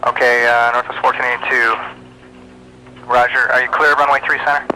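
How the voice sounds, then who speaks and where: A man's voice: mid-range voice, little pitch movement, fairly quick, pushed and bright, poor audio. A man working as an air traffic ground controller, giving clipped, commanding instructions over aviation radio.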